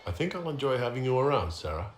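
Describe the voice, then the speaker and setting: A man's voice: mid-range voice, big pitch swings, fast, roomy sound, a faint crowd, lightly acted. An actor playing an earnest male hero leader in a game cutscene, asking polite, curious questions. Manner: polite, inquisitive.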